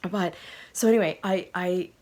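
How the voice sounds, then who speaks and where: Excitable woman with a slightly croaky voice. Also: fairly low pitch, normal speed. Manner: gushing and excitable, laughing often. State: recovering from a sore throat. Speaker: podcast host. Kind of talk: casual storytelling to viewers.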